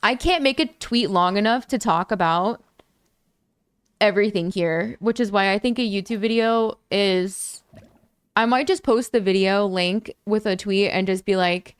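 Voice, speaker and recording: A young woman streaming games, chatting casually with viewers and breaking into excited thanks for donations, her voice a little worn from talking for hours. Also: measured pace, smooth, dry recording. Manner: chatty, bursts into high excited squeals thanking donors. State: voice a bit lower and worn from long talking. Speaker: gaming livestreamer. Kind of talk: casual livestream chat to viewers.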